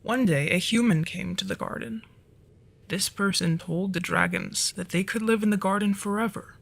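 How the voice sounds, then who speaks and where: Performer of unclear sex as a soft, creaky-voiced dragon storyteller. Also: mid-range voice, normal speed. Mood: gentle, storytelling. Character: flirty, easily flustered dragon storyteller. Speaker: storyteller in an audio roleplay. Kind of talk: ASMR roleplay, soft storytelling.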